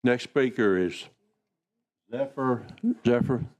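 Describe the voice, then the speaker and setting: A man's voice: medium pitch, slow pace. A man chairing a committee hearing, who politely calls speakers and thanks them. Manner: polite, with fillers.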